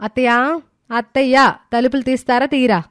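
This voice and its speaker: A woman's voice: high-pitched, fairly even intonation, moderate pace, a bit bright, clean tone, no echo, clean studio sound. A woman voicing a clever, persistent young daughter-in-law in a moral story, respectful but insistent. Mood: teasing. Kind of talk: dramatised moral story acting. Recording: music behind the voice.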